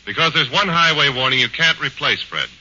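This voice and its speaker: A man delivering a scripted announcer pitch in a 1940s radio show. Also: expressive, fairly quick. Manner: bright, forceful announcer delivery. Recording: dull 1940s radio broadcast.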